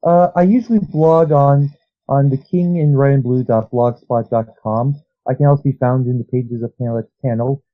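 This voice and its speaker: A man talking as a guest writer on a podcast, thinking out loud in long rambling stretches full of restarts. His pitch is ordinary, and his recording sounds dull and lo-fi, with the top end rolled off. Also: moderate pace. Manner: rambling, analytical, trailing restarts. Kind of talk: casual interview chat.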